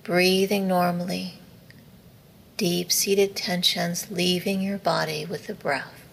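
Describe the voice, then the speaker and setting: A woman leads a guided relaxation at a very slow, measured pace. Her voice sits fairly low with a gravelly creak, and her phrases end softly on breath. She gives each instruction in a soothing way. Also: flat delivery. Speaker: yoga nidra meditation teacher. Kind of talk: guided meditation. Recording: faint background noise.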